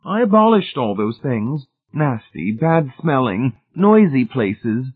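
A man's voice: medium pitch, big pitch swings, slow pace, husky, narrow, tinny audio, pitched above the performer's own voice, heavily acted. A man voicing a prim, sanctimonious, fussy older woman in an audiobook, with genteel fluttery manners. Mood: prim, self-satisfied. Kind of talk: audiobook character voice.

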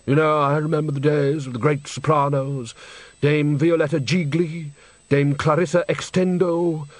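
A man reading aloud and voicing an older male opera director who speaks slowly and wistfully. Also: on the high side, steady tone, no echo, voice pushed up, lightly acted. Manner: slow, wistful, nostalgic.

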